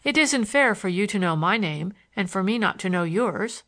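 A woman voicing a courteous young gentleman in his twenties, wry and gently condescending, in audiobook dialogue. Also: mid-range voice, expressive, moderate pace. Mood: dry, prodding. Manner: polite, dry, questioning.

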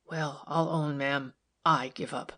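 A woman voicing a stolid, respectful working-class man in an audiobook, with deep tone and rustic, deferential phrasing. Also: normal speed, gravelly. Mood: solemn, grateful. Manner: rustic, ungrammatical, deferential speech.

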